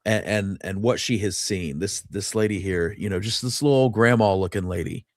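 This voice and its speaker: A man's voice: lowish voice, normal speed, no room sound, clean studio sound. A man hosting a show in a casual livestream chat style. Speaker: show host.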